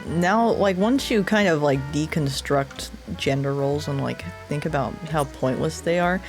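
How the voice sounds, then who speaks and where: A woman chatting casually on a stream, telling relaxed, reflective stories about growing up. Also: sits low, moderate pace, over music, poor audio. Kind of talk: casual chat on a live stream.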